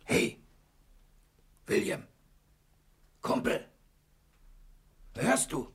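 An actor playing a scheming, smooth-talking prisoner in a radio play, glib and ingratiating. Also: male voice, very slow. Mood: urgent, conspiratorial. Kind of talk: German radio drama acting.

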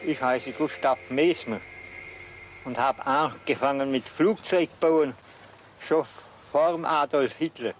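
Slow, halting older man, distant and echoey. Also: fairly high pitch. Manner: halting, repeats words, adds questioning tags. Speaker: self-taught inventor. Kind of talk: spontaneous reminiscing. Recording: distant, echoey archive recording with loud noise.

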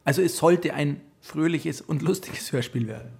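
A male radio interviewer with a slightly high voice and a husky edge. He phrases his prepared questions in a measured, articulate manner. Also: a little mellow.

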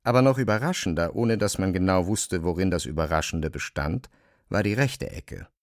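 Low-voiced male narrator, dry and close. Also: lively intonation, moderate pace, no room sound. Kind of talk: literary narration in a radio drama.

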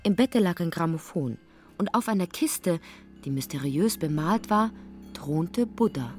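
A woman's voice: fairly low pitch, expressive, unhurried, darkish tone, faint music behind. A woman narrating a literary radio drama as a scripted storyteller.

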